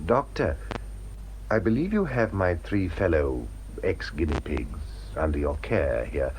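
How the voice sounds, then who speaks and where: An actor playing a bewildered, polite former test subject in a radio drama, with a hesitant manner. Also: male voice, sits low, expressive, measured pace, darkish tone, slight background noise. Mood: polite, guarded.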